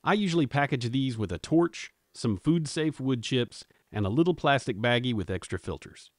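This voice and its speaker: A man, narrating his woodworking projects in a cheerful, scripted way. His voice sits at an ordinary pitch for a man. The sound is close and dry, with hardly any room echo. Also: lively intonation, normal speed. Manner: upbeat, jokey how-to delivery. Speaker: woodworking channel host. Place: home woodworking shop.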